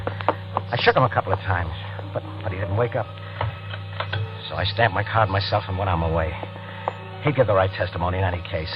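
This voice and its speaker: A man's voice: on the low side, lightly acted. An actor playing a nervous, scheming repairman with an American accent and a stammering, confiding manner, in a 1940s radio thriller. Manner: stammering, jittery, confiding in narration. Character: nervous guilty night-shift teletype repairman, scheming and evasive. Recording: muffled, noisy old radio recording.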